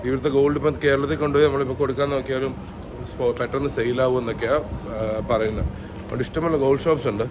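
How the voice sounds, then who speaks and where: A man's voice: medium pitch, steady tone, very fast. A man in his thirties with a Malayali accent, chatting casually as he walks a city street for a travel vlog. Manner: chatty, thinking aloud while walking. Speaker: travel vlogger. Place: outdoors on a busy city street. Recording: noisy street ambience.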